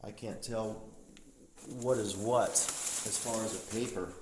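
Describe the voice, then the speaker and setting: A man's voice: on the low side, fairly even intonation, takes it slowly. A man giving a casual, off-the-cuff walk-through as an explorer vlogger, pointing things out to viewers with open amazement. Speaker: urban explorer vlogger, host. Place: inside empty rooms of an abandoned house. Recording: roomy echo, light background noise.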